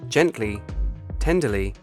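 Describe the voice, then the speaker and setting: A man reading his own short story as a scripted literary narration, measured and solemn. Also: on the low side, expressive. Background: music bed.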